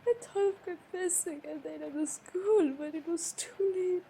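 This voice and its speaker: A woman playing a hurt, defensive young woman in an emotional romantic roleplay, speaking haltingly with pauses. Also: very high-pitched, creaky, dark tone. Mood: tearful, confessing. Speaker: audio roleplay performer. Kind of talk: improvised romantic roleplay drama. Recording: noisy background ambience.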